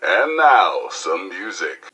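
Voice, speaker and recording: A showy American wasteland radio DJ for a video game. His voice is husky yet very forceful, and it swoops widely as he delivers folksy, irreverent mock news and punchy patter. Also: deep voice, sing-song, heavily acted. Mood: exuberant, showy. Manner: theatrical, wisecracking, folksy, drawn-out and punchy. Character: flamboyant, irreverent male post-apocalyptic radio DJ. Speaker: radio DJ and newsreader.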